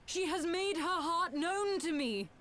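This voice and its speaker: Devout, lovelorn young visionary: high-pitched, unhurried, earnest, theatrical fantasy game drama. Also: female voice, expressive, a bit bright, a little hiss or hum, full character voice. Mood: fervent, devoted. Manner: earnest, theatrical, archaic diction.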